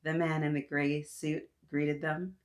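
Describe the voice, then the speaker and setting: A woman hosting an interactive storytime, reading aloud to young children in an animated, encouraging way and talking directly to her little listeners. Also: deep voice, unhurried.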